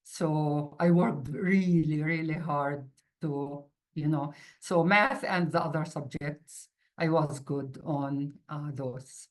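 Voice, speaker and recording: A middle-aged woman, a native Arabic speaker with an Arabic accent, gives an informal interview account in a halting way, searching for words. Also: low voice. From Palestinian refugee from the Middle East. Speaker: university mathematics instructor.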